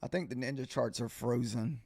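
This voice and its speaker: Male day-trading streamer, creaky and slightly hoarse, informal halting commentary, close dry sound. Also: mid-range voice, a little mellow, no echo. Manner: informal, halting, thinking aloud. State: voice starting to get hoarse. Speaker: livestreaming futures day trader and host. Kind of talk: casual live trading commentary, answering viewers.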